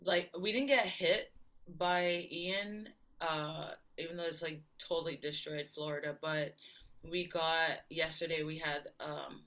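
A woman's voice: sits low, gravelly, fairly bright tone. A woman from Barbados, telling her story as a guest in a casual interview. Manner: chatty storyteller, many fillers and laughs. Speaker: guest singer-songwriter.

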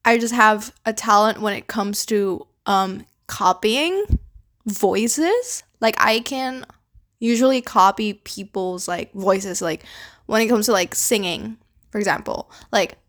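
This is a young woman talking by herself in a loose, casual podcast monologue. She was born in the Philippines but her English sounds Canadian, and her pitch sits in the middle for a woman. She rambles, drops "like" and "you know" constantly, and swears offhandedly. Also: slow pace, a bit bright. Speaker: podcast host.

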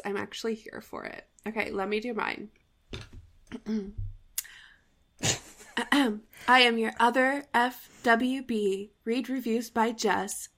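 Young woman cohosting a book podcast, bright voice kinda high, sleepy rambly chat. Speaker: podcast co-host. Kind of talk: casual chat.

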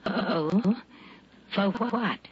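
A woman's voice: medium pitch, extremely slow, breathy edge, exaggerated voice. An actress playing a kindly, chatty, doting lady in her eighties, genteel and hesitant, in radio drama. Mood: puzzled. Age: 80s. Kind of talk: early 1960s radio drama acting. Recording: dull vintage radio recording, faint noise.

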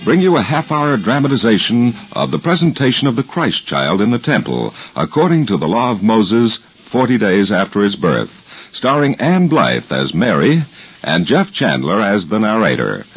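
A man's voice: on the low side, big pitch swings, normal speed, slightly rough. A man announcing formally and emphatically in a scripted 1950s radio style. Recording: muffled old radio recording, music behind.

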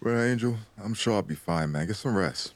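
A man's voice: on the low side, lively intonation, normal speed, natural voice. A man playing a laid-back, blunt husband in casual drama dialogue and reflective narration. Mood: sleepy, relaxed.

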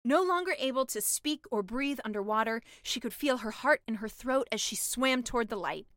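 A woman reading a comic fairy tale as a scripted storybook narrator, expressive and mock-dramatic. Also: fairly high pitch, normal speed, no room sound, clean studio sound. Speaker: author reading own story.

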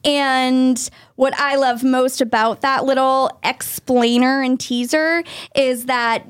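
A woman's voice: high-pitched, fairly even intonation, takes it slowly, clean tone, dry recording, clean studio sound. A woman hosting a podcast, chatting in a bubbly, enthusiastic way with her guest. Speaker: podcast host. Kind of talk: casual interview chat.

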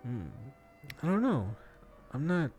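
A man's voice: a little high, very animated, slow, a little mellow, faint music behind. A man in his thirties chatting casually and solo as a podcast host, thinking aloud in a rambling, slangy way. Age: 30s. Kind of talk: casual solo podcast chat, answering questions.

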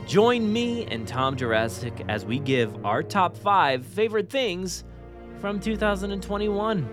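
Animated, chatty male podcast host. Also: high voice, measured pace, over music. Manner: enthusiastic, chatty, informal. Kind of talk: casual podcast chat.

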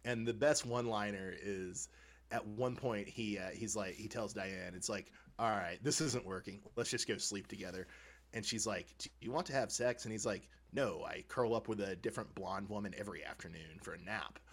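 A man co-hosting a podcast, chatting casually and comically as a fan, with animated, informal, chuckling banter. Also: lowish voice, moderate pace. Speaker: podcast co-host. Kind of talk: casual comedic fan discussion.

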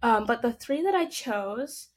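A woman's voice: fairly high pitch, expressive, a little slow. A nineteen-year-old woman raised in the United States, a podcast guest telling upbeat stories about her family. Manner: upbeat, chatty storytelling. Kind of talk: casual interview.

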